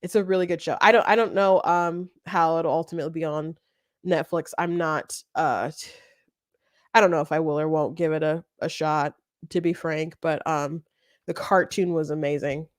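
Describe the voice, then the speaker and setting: A woman hosting a commentary show, talking off the cuff in a casual, halting, rambling way. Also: low-pitched, no room sound, studio quality. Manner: halting, rambling, often breaks into laughter.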